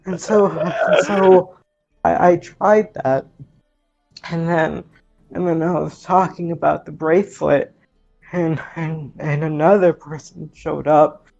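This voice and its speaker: A man's voice: on the high side, steady tone, gravelly, a little mellow. Guy doing a shaken teen girl in a tabletop game, keeps stuttering, dull lo-fi mic, music behind. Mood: nervous, recounting. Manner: halting, stammering, repeats words. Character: distraught, guilt-ridden teenage orphan girl confessing.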